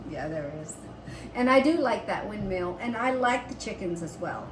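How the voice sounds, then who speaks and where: A woman's voice: medium pitch, animated, normal speed. A woman chatting casually as co-host of a homestead vlog. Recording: roomy echo, background noise.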